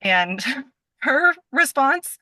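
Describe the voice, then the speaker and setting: A woman guest in a casual interview with a bright, forward voice and a dry sound. She is effusive and giggly, wandering off into asides as she talks. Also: high-pitched, sing-song, extremely slow, dry recording. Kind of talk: casual chat.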